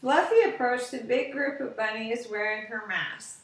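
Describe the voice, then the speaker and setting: A woman's voice: a little high, moderate pace, fairly bright tone, a little hiss or hum. A woman in her thirties reading a children's bedtime story aloud in simple narration, recorded on a webcam in a living room. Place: living room with sofa and window, indoors. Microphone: webcam at about arm's length or more. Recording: roomy, distant webcam sound.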